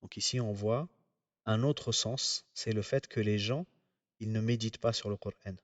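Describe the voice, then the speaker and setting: Male religious teacher, lowish voice, steady explanatory lecture, dry sound, a bit off-mic. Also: dry recording, far from the mic. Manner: measured, explanatory, pauses often.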